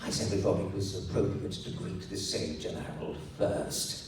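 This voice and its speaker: A man's voice: low-pitched, fairly even intonation, moderate pace, natural voice. A man playing a formal, earnest and exasperated emperor, speaking in a stiff courtly manner for a story reading. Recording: echoey noisy room, recorded from far off.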